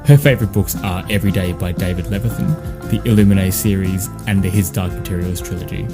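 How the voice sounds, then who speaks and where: A man's voice: sits low, a little fast, music in the background. A man hosting, reading a scripted podcast outro. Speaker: podcast host.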